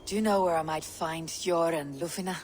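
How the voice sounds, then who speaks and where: A woman's voice: low voice, fairly quick, darkish tone. A woman playing a resolute Viking warrior and clan leader in a video game, serious and terse. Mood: determined. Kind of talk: video game drama acting. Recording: clear noise behind the voice.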